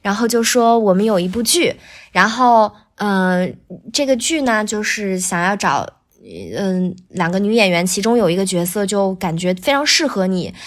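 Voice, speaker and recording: A woman's voice: medium pitch, moderate pace. A woman speaking casually as a voice actor guest on a podcast, explaining things and often rephrasing herself as she goes.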